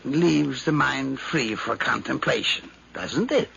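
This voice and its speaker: Muffled old radio: peevish elderly uncle, creaky, insistent, domineering. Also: male voice, medium pitch, expressive, a little slow. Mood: languid, savoring. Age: older. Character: fussy, domineering older uncle with a cigar. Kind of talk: 1950s radio drama acting. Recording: muffled, faintly hissy 1951 radio recording.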